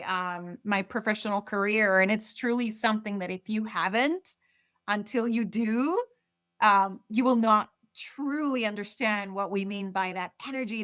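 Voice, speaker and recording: A middle-aged woman, originally from Argentina, talks through her answers as a podcast guest. She keeps stopping, repeating words and restarting her sentences as she thinks. Her pitch sits in an ordinary middle range for a woman. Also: a bit bright. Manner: hesitant, many restarts and fillers. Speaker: guest, marketing executive. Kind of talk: casual interview.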